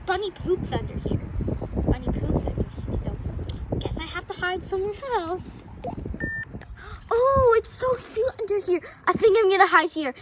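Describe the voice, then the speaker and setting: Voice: extremely high pitch, animated, normal speed. A child hosting an improvised doll pretend-play video, speaking as the toys while playing outdoors. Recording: loud noise with clicks and rustling.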